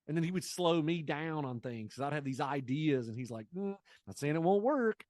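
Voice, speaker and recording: A man in his late forties with a slightly raised pitch speaks as a coach being interviewed. His delivery is casual and energetic, acting out bits of dialogue, and the sound is dry with little room around it. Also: a little fast, no room sound. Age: late 40s. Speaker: guest, business coach and sales trainer.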